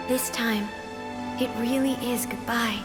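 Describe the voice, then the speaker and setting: A woman playing a gentle, serene dying goddess in a video game, speaking in soft, solemn, poetic lines. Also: a little high, big-room sound. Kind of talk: dramatic video game voice acting. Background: music.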